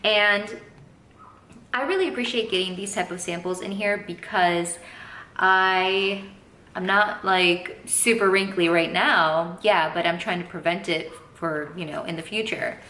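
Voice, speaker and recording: A woman's voice: fairly low pitch, measured pace, pushed and bright. A woman in her thirties chatting casually as a beauty vlogger at home, rambling with playful asides. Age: 30s. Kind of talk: casual unboxing chat. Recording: echoey room, faint background noise.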